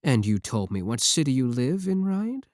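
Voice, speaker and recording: A man's voice: mid-range voice, sing-song, moderate pace, darkish tone, no echo, clean studio sound, lightly acted. A man playing a clingy, possessive yandere VTuber, pleading defensively and intimately with a single viewer in an ASMR roleplay. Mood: obsessive, coaxing.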